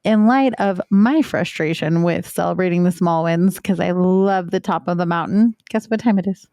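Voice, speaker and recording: A woman co-hosting a business leadership podcast with a pitchy voice, chatty and playful. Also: normal speed, mellow, no room sound, studio quality. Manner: playful, chatty, laughs easily. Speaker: podcast co-host. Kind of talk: casual podcast chat.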